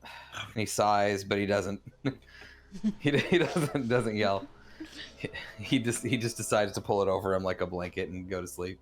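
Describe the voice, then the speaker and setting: A man's voice: fairly low pitch, normal speed, long pauses. A man playing a tabletop game, narrating his character's actions casually and loosely. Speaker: player.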